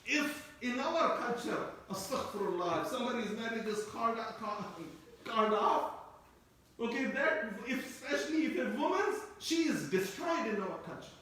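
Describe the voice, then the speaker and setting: A man's voice: high voice, fairly bright tone, slight background noise. A man who grew up speaking Turkish gives a Quran commentary lecture, wandering and repeating himself as he explains. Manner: discursive, repeats and restarts phrases. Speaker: Quran teacher. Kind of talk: tafseer lecture. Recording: echoey room, mic at a distance.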